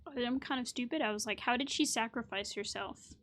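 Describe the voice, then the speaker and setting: A woman's voice: a little high, fairly quick, fairly bright tone. A woman hosting a gameplay video, giving informal, chatty reactions as casual commentary. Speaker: gamer, video host.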